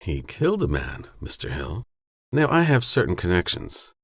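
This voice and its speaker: A man voicing a formal, educated, patronizing villain in an audiobook, smoothly menacing and businesslike. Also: sits low, huge pitch swings, moderate pace, no room sound, studio quality, exaggerated voice. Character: tall educated humorless villain, condescending businessman in glasses.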